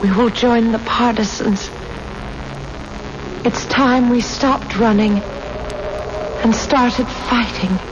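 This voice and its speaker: High-voiced, solemn young granddaughter. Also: female voice, steady tone. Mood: earnest, resolute. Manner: measured, earnest, dramatic. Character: solemn, resolute young granddaughter of a hunted old man. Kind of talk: 1970s radio drama acting. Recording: very noisy muffled vintage radio recording.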